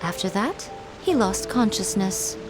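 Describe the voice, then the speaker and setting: A woman's voice: medium pitch, lively intonation, measured pace, lightly acted. A woman voicing a teasing, knowing fox shrine maiden in a video game, poised and coy. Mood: explaining. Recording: music behind the voice.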